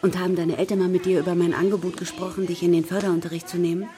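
A woman playing a kind, earnest schoolteacher in a children's radio play, speaking in a gentle, careful, encouraging way. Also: on the low side, flat delivery, very fast, lightly acted. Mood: polite, concerned.

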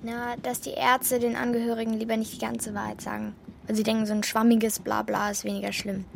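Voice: mid-range voice, monotone, a little fast, creaky, a little hiss or hum. A young performer playing a frank, resolute girl with a blunt, reassuring manner in a German children's radio drama. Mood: matter-of-fact. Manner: blunt, matter-of-fact, reassuring. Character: frank, resolute girl, the boy's protective companion.

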